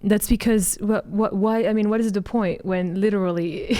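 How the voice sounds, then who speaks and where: A woman with a mid-range voice co-hosting a casual chat. She pushes back in debate but does so haltingly, circling back and repeating phrases as she makes her point. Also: medium pitch, fairly even intonation, mellow. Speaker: co-host of a video game list show.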